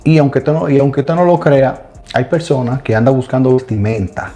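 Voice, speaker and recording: Emphatic middle-aged man with a mellow voice. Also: mid-range voice, steady tone, a little fast, faint music behind. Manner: emphatic, addresses listeners directly. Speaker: co-host of a Bible study channel. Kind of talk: casual religious discussion.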